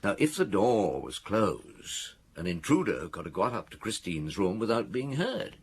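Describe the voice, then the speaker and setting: An actor playing a seasoned, middle-aged chief inspector, wry and measured, recounting a case in a radio drama. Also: male voice, lowish voice, big pitch swings, slightly rough, heavily acted. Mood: reflective. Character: seasoned male police chief inspector, reflective, wry storyteller.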